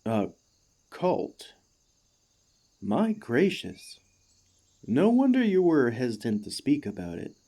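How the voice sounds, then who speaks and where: A man playing an earnest, devoted warforged ex-gladiator in an ASMR roleplay, speaking to the listener with formal, courteous, gently hesitant phrasing. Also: mid-range voice, full character voice. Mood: concerned, tender.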